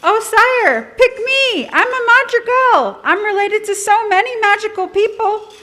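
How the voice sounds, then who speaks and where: A woman's voice: very high voice, lively intonation, unhurried, bright, forceful tone, full character voice. A woman voicing an eager young maiden puppet, gushing and pleading to be chosen.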